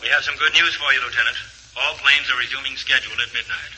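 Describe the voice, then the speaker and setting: An actor playing a polite, brisk airline clerk on the telephone, in 1940s radio drama style. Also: male voice, medium pitch, steady tone, lightly acted. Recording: vintage radio broadcast, faint hiss.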